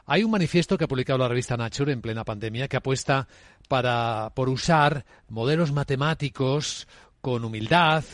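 A man hosts a radio interview from a studio, speaking in a measured, clear presenter style. His pitch is middle-range, and the sound is dry and close. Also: no echo. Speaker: radio host.

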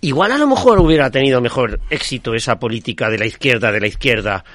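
A man's voice: medium pitch, animated, quick pace, fairly bright tone, no room sound, studio quality. Sarcastic male debate panelist. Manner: sarcastic, mocking asides. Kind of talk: heated political debate. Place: studio debate table.

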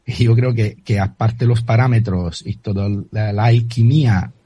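Hesitant Italian man, husky dark voice. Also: on the low side, a little slow, no echo. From Italy. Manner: hesitant, repeats words while searching for terms. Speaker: guest. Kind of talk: radio interview answer.

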